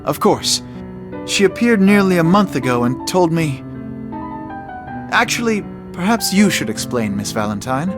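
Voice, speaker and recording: A courteous anime nobleman with a fairly high male voice and expressive intonation. He is formal, gentle and composed, with music clearly audible behind him. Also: unhurried. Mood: reassuring. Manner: polite, formal. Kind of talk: anime game voice acting.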